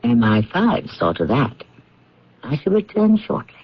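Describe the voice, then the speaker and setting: A man hosting a radio mystery series, narrating in a measured, formal, rhetorical way on a dull old broadcast recording. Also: medium pitch, wildly swooping pitch, breathy edge. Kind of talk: scripted radio drama narration.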